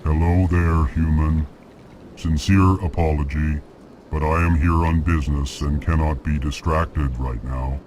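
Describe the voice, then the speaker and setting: A man playing a ponderous alien merchant in a video game, speaking in a flat, formal, deliberate way and announcing each feeling. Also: a bass voice, slight background noise. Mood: ponderous, puzzled. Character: slow, ponderous alien merchant who announces his emotions.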